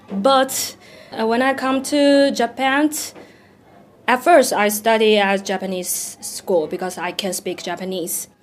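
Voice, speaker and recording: Lady, slow halting english, keeps hunting for words. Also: mid-range voice, fairly even intonation, faint music behind. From Taiwan. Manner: halting, searching for words, laughs often. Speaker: singer guest. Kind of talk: interview answers.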